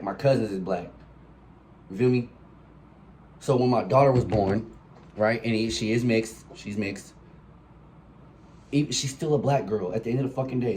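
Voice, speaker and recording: A man's voice: mid-range voice, warm tone, slight background noise, poor audio. A young man with a cold, sniffling as he talks, preaching passionately and profanely into a phone mic in a room with colored lights. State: sick and sniffling. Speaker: podcast host. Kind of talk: solo podcast monologue.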